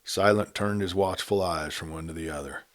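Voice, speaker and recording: A man narrating a Western novel in a measured, quiet reading style. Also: sits low. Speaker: storyteller. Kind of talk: scripted story narration.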